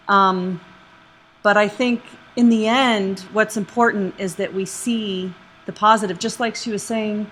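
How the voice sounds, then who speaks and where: A woman's voice: medium pitch, a bit bright, slight background noise, poor audio. A woman in the audience sharing her reflections casually. She speaks earnestly and rambles a bit, using many fillers. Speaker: audience member.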